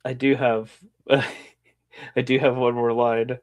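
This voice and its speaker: A man's voice: mid-range voice, flat delivery, takes it slowly, darkish tone. A man playing a tabletop role-play game, talking hesitantly with lots of fillers. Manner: hesitant, many fillers, giggly. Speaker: player. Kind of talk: casual chat at a game table.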